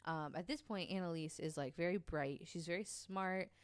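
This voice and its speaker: A woman's voice: fairly low pitch, normal speed, clear, resonant tone, no echo. A woman co-hosting a podcast, casually telling a true story with lots of ums and likes. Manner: chatty, many ums and likes, laughs easily.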